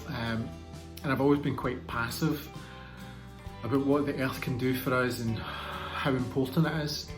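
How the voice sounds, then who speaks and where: A man speaking in an earnest, measured way in a reflective interview. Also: mid-range voice, steady tone. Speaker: project team member. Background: guitar music.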